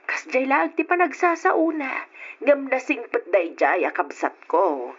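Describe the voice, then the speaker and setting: A woman's voice: high voice, expressive, measured pace, slightly rough, full character voice. A woman playing a patient, worried middle-aged mother in an old Ilocano radio drama, recorded with a muffled sound. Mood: soothing, resigned. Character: patient, gentle, worried mother of grown children.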